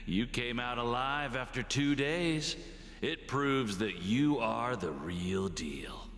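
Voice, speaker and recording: A man playing a cruel, gloating slave master in a video game, with theatrical, drawn-out taunts. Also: medium pitch, expressive, fairly bright tone. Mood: smug. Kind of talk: video game character acting. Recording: long reverb, music behind.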